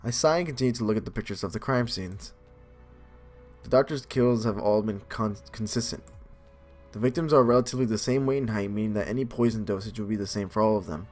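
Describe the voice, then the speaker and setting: A man's voice: fairly low pitch, quick pace, a little mellow, faint music behind. A man hosting a gaming video, reading game text aloud and adding casual commentary.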